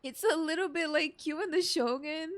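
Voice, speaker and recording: A woman's voice: sits high, moderate pace, background noise. A woman giving casual, playful reactions as she plays a video game, giggly and given to exclaiming. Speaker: let's play streamer. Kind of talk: casual gameplay reaction commentary. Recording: game music behind the voice. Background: video game music.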